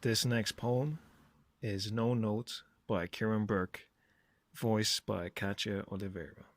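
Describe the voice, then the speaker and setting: A man's voice: fairly low pitch, steady tone, slow, dry recording. A man hosting a podcast, talking casually as he gives recommendations and introductions. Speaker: podcast host.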